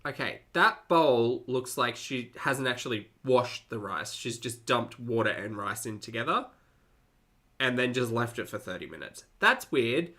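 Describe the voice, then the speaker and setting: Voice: mid-range voice, expressive, measured pace, sharp tone. A person giving casual reaction commentary as a video host, with an exasperated, sarcastic way of critiquing what they watch.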